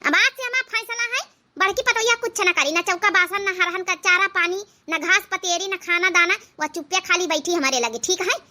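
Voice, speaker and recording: A woman's voice: very high voice, brisk, sharp tone, heavily acted. A woman voicing a bossy village mother-in-law who scolds and threatens, in Awadhi-accented comic cartoon dialogue. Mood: commanding. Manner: haranguing, threatening.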